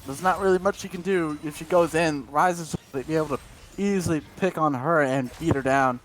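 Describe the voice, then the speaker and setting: Male play-by-play caster, high voice, breathless rapid calls, light background noise. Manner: rapid breathless calls, tripping over words. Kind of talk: esports play-by-play commentary.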